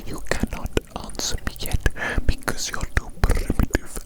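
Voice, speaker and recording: A woman playing a gleeful evil mad scientist in an ASMR roleplay, whispering breathily and coaxingly, repeating phrases theatrically as they dote on their creature. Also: natural voice. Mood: doting, gloating. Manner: slow, repetitive, theatrical, coaxing.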